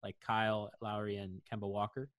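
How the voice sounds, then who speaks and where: A man's voice: fairly low pitch, flat delivery, fairly quick, fairly bright tone, dry recording, clean studio sound. Easygoing man in sports podcast chat. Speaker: podcast co-host.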